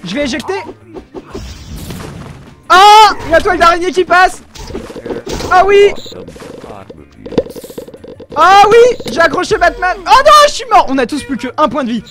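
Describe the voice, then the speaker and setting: A young man giving excited commentary over his own gameplay, exclaiming often and talking straight to his viewers, recorded on a desk mic with headphones on. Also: extremely high pitch, unhurried, pauses often, soft background music. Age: young adult. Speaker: gaming video creator.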